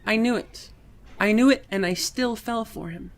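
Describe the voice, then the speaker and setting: A woman's voice: medium pitch, moderate pace, lightly acted. A woman playing a young, heartbroken assistant in a voiced comic, bitter, aggrieved and sarcastic. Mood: bitter, hurt. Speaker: voice actor. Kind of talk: voiced comic book dialogue.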